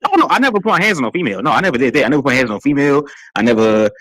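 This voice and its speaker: A man's voice: medium pitch, sing-song, a bit bright, dry recording, poor audio. A man in his thirties from Texas, talking casually and rambling as a guest on an online panel. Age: 30s. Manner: fast, rambling, repeats himself. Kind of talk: casual podcast chat.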